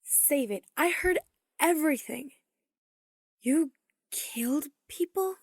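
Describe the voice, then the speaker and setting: A woman's voice: sits high, lively intonation, takes it slowly, dry recording, studio quality, exaggerated voice. A woman playing a bold, pushy, sarcastic girl in a fan audio drama, blunt and teasing. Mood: indignant.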